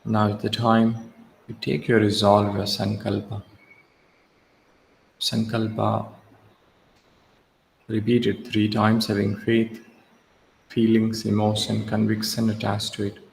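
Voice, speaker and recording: Slow, low-voiced man guiding meditation. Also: completely flat delivery, creaky. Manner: measured, short instructive phrases with long pauses. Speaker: yoga nidra instructor. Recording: echoey reverberant room, faint background hiss.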